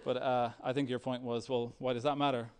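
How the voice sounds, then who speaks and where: A man giving a software talk with a live demo, speaking in a halting, hesitant way. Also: mid-range voice, flat delivery. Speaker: software developer presenting at a tech meetup.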